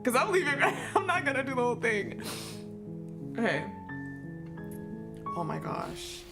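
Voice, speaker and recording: A woman's voice: sits high, very animated, a little slow. A woman streamer giving live gaming commentary to her chat, exclamatory and giggly, often repeating herself as she hesitates. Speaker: game streamer. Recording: game music behind the voice.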